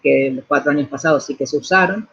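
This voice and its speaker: A woman's voice: sits low, fast talker, vocal fry, fairly bright tone, highs cut off, slight background noise. A middle-aged woman from Argentina with an Argentine Spanish accent, an architect answering interview questions thoughtfully, restarting phrases and adding tag questions. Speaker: architect and urban planner.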